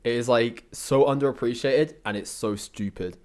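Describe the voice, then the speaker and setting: Young man, mid pitch, casual chat, slangy, rambling, jokey, interview guest. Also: normal speed, darkish tone. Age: young adult.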